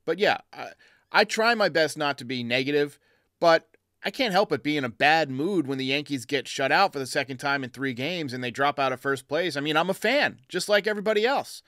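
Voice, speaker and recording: A man's voice: on the high side, expressive, brisk, pushed and bright, dry recording, clean studio sound. A man hosting a fan call-in sports show, giving blunt, emphatic opinions in a casual way.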